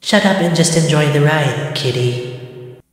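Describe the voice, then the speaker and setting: A woman voicing a swarm of hungry, seductive ghostly spirits that speak slowly and teasingly as one group. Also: medium pitch, lightly acted. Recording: heavy echo with long reverb.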